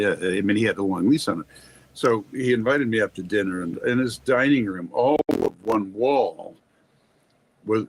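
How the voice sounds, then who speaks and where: An older man from Quebec, an interview guest telling anecdotes in erudite, formal wording, discursive and halting with repeated starts. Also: on the low side, husky, rough recording. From Quebec, Canada. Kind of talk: anecdotal storytelling in conversation.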